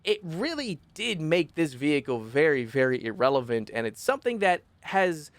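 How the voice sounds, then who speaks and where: Young male gaming host, phlegmy rough voice, a touch high, rambling casual commentary, dry close mic. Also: very animated, normal speed, dry recording. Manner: rambling, self-correcting, opinionated. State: phlegmy, rough voice after illness.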